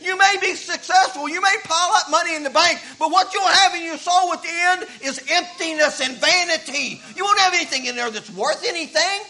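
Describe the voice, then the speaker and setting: A man's voice: very high-pitched, a little fast, few pauses, pushed and bright, low-quality recording. A man, preaching a sermon in a folksy way that repeats words as he starts thoughts. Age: 50s. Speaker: pastor.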